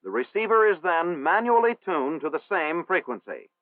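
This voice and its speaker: A man narrating a military training film in a formal, measured, instructional manner. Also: on the high side, sing-song, dry recording. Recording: old 1960s film soundtrack, tinny.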